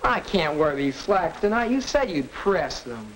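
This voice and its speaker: An actor playing a brash, grumbling American teenage son in a 1940s educational film, blunt and clipped. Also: male voice, a little high, very animated, unhurried, a bit bright. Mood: indignant. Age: young adult. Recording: noisy, crackly 1940s film soundtrack.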